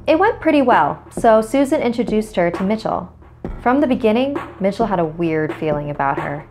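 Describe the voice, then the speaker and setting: A woman's voice: medium pitch, lively intonation, moderate pace, darkish tone, faint music behind. A woman hosting a scary story series, reading scripted horror narration.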